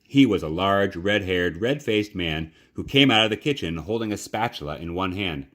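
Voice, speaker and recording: A man reading a children's story aloud in a measured, dramatic, expressive narration. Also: low-pitched.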